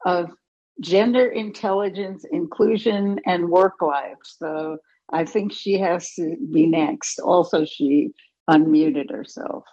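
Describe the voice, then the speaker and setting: A woman moderates a panel at a slow, unhurried pace, her voice mellow and a little husky. The audio is dull and lo-fi. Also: fairly low pitch. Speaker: host.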